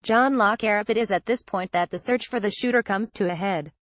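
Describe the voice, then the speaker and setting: A woman narrates scripted news at a medium pitch. She reads it out literally, with a synthetic delivery. The sound is very dry and close, free of room echo. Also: fairly quick. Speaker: news reader.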